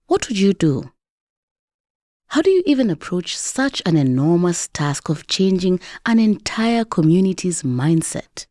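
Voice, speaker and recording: A woman's voice: low-pitched, dark tone, dry recording, clean studio sound. A woman hosting a narrated documentary podcast with dramatic, rhetorical storytelling delivery. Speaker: podcast host. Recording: music behind the voice.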